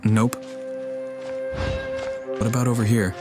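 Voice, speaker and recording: A man giving casual, low-key, matter-of-fact gameplay commentary as the host of a gaming video. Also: flat delivery, slow pace. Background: game music.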